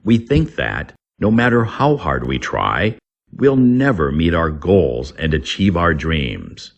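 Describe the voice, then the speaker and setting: Deep, gravelly man reading slowly. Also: very animated, lo-fi sound. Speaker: English teacher. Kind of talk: scripted lesson narration, slow read-aloud.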